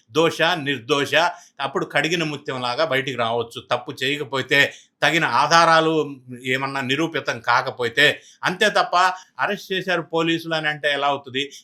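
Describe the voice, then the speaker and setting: A man's voice: on the high side, sharp tone. A man with a Telugu accent giving emphatic political news commentary, often posing rhetorical questions.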